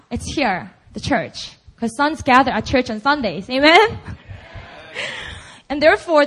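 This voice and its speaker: Young woman, Korean accent, preacher, high voice, chatty and emphatic sermon delivery. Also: rough recording. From Busan, South Korea. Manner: chatty and emphatic, full of "like" and "right?". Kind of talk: conversational sermon.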